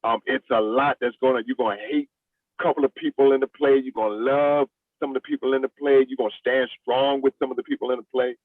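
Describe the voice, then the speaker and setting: A man's voice: mid-range voice, fairly even intonation, fast, dry recording. Man on a thin phone-like line. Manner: enthusiastic, repeats phrases for emphasis. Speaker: stage play director and actor. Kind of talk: casual interview talk. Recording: thin phone-like call audio.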